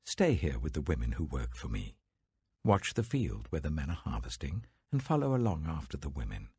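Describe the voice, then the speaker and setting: A dignified older landowner played by a British man speaks with gentle authority in a scripture audiobook. The voice is very low and heavily husky, protective and generous, recorded dry and close. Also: huge pitch swings, normal speed, no room sound, exaggerated voice.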